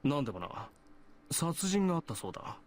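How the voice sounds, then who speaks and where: An actor playing a stern high school boy, a priest's son with formal, old-fashioned phrasing, in an anime visual novel. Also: sing-song, very fast, a little hiss or hum. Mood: serious. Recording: game music under the voice. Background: game music.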